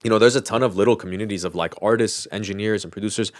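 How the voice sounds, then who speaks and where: Podcast co-host, male, low register, informal conversational delivery, dry sound. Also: very fast, dry recording. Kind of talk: casual podcast chat.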